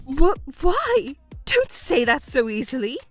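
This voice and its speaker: Casting: woman to play possessive, self-pitying mother, middle-aged, melodramatic, high voice with big swoops, family drama. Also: big pitch swings, slow, faint music behind, full character voice. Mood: indignant panic. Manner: melodramatic, wailing, indignant. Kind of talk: acted dialogue in a narrated family drama.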